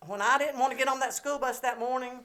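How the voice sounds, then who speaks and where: Lively Southern woman in her seventies. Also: high-pitched, fast talker. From Georgia, US. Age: 70s. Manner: lively, laughing, playful storyteller. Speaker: guest. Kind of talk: casual storytelling chat.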